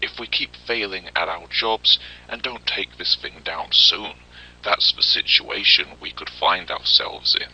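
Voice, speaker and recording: Man acting a very deep, forceful government briefing officer. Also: unhurried, breathy edge, heavily acted, low-quality recording. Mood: grave, urgent. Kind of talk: scripted military briefing narration, analog horror.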